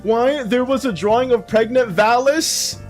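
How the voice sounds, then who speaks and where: High-voiced rambling man, slightly hoarse. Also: measured pace, soft background music. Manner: rambling, stammering, repeats words; reads chat messages aloud and thanks viewers. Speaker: livestreamer. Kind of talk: casual livestream chat.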